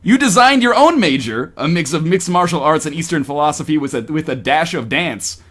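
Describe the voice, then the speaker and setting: A man's voice: fairly high pitch, animated, brisk, a bit bright. A man reading a story aloud on a stream in a playful, mock-dramatic way with joking asides.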